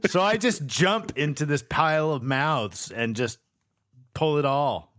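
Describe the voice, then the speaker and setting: A man's voice: a little high, animated, unhurried, fairly bright tone. A man chatting casually and playing in character at a tabletop roleplaying game, wry and informal.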